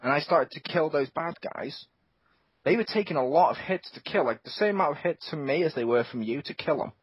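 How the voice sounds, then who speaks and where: A man's voice: a little high, animated, brisk, husky, no echo. A man hosting a casual gaming podcast, joking and sarcastic. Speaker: podcast host. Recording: dull lo-fi sound.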